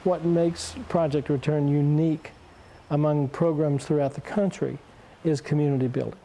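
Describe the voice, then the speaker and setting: A man explaining in interview style, measured, pausing to find his words. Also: a little high, fairly even intonation, warm tone, rough recording.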